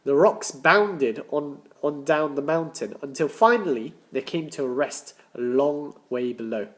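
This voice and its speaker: A man reading a children's story aloud as a teacher, with deliberate dramatic emphasis and questions to his listeners. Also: on the high side, animated, fairly bright tone. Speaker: primary school teacher.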